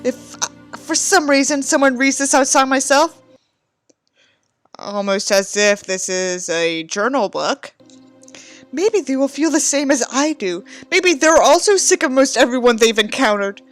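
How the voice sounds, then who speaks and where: A whiny, self-important writer who despises humanity as a woman acts him out, declaimed in a high voice. The delivery is pompous and melodramatic, a mocking dramatic book reading with faint music. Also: lively intonation, unhurried, no echo. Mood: gloomy, self-pitying.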